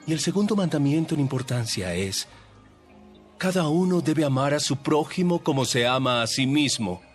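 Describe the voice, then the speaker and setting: A man's voice: on the high side, lively intonation, moderate pace, faint music behind, close to an everyday voice. A man playing an authoritative religious teacher in a dramatized audio Bible, instructing followers in a didactic, emphatic way. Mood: solemn teaching.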